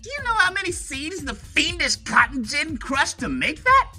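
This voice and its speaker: A woman's voice: high-pitched, very animated, moderate pace, music in the background. A woman voicing a preachy girl who lectures about animal suffering. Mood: indignant. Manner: indignant, lecturing.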